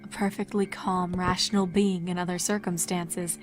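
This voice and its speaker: A woman's voice: fairly low pitch, little pitch movement, moderate pace. A woman reading fan fiction aloud as a dramatic scripted narration. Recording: music behind the voice. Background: background music.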